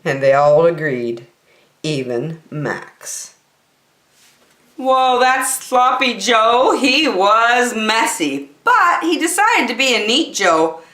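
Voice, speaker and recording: Woman, children's read-aloud host, highly animated, unhurried, expressive, echoey room. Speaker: children's story time host. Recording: roomy, echoey room sound.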